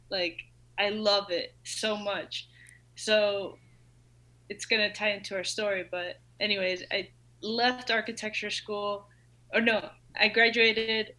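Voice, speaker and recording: A woman's voice: mid-range voice, sharp tone, low-quality recording. A woman of thirty telling a story casually and enthusiastically as a podcast guest, in very informal speech. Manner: informal, enthusiastic, quotes own past thoughts. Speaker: podcast guest, business owner.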